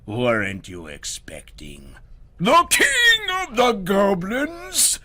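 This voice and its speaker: A man voicing a terrifying, menacing king of the goblins in a children's storybook, speaking slowly and ominously. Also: high-pitched, huge pitch swings, pushed and bright, pitched above the performer's own voice, heavily acted. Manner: slow, menacing, building to a roar.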